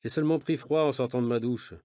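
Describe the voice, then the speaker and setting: Man reading dialogue in measured tones. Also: mid-range voice, dark tone, no room sound, studio quality. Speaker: audio reader of a learner's novel. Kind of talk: reading dialogue for language learners.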